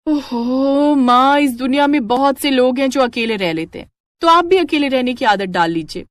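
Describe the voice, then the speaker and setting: A woman's voice: high-pitched, moderate pace, no room sound, pitched above the performer's own voice, lightly acted. A woman voicing callous, selfish, scheming young daughters in a moral story cartoon, with a dismissive, scornful manner. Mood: defiant, dismissive. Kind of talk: animated moral story dialogue.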